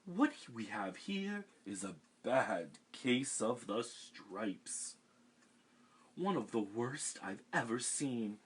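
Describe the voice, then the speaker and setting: A man voicing a kind, plump old woman, speaking brightly and gently in a sing-song way. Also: mid-range voice, sing-song, slow pace, breathy edge, heavily acted. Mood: kindly.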